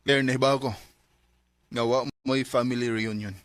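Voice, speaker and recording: A man's voice: medium pitch, steady tone, normal speed, fairly bright tone, close to an everyday voice. A man with a Cebuano Filipino accent playing an earnest, trusting young boyfriend in a Bisaya radio drama. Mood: hurt, accusing.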